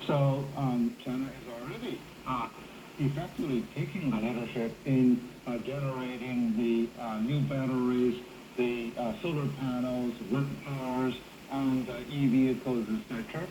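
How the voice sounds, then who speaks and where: A man giving commentary as a discussion guest, speaking haltingly with frequent pauses. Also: medium pitch, steady tone. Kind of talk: interview discussion. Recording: echoey, very noisy shortwave radio reception.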